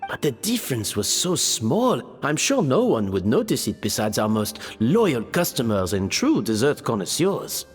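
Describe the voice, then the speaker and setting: A man playing an earnest, inquisitive young gourmet in a game, expressive and questioning. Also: mid-range voice, normal speed, hardly any pauses, slightly rough. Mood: thoughtful. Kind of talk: English game voice acting. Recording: long reverb over background music.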